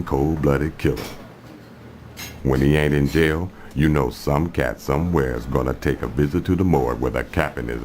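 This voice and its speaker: An actor playing a smooth, menacing gangster boss in a video game, with slangy, unhurried street-hustler swagger. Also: male voice, extremely low pitch, noisy background. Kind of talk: video game cutscene acting.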